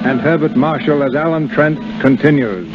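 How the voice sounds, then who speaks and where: A man's voice: on the high side, moderate pace. A man giving formal, polished scripted narration for a 1930s radio drama. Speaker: announcer, narrator. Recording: muffled 1930s radio, music behind.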